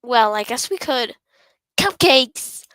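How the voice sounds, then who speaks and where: A woman voicing a bubbly, earnest teenage schoolgirl in a visual novel, animated and teasing. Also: on the high side, takes it slowly, smooth, no room sound. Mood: bright, eager.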